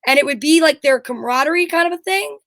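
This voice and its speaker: A woman with a high voice and close, dry sound chats casually as a co-host. She is blunt and emphatic, drifting into rambling personal anecdotes with the odd swear word. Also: lively intonation, fast talker, a bit bright, no room sound. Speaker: show co-host. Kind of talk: casual chat.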